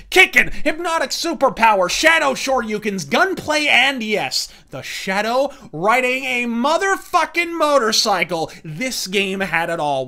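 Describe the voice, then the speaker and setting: Guy doing a wordy sweary scripted rant, bright forceful voice, really emphatic and caustic. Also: high-pitched. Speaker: video essayist and reviewer. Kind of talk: scripted, profane ranting commentary.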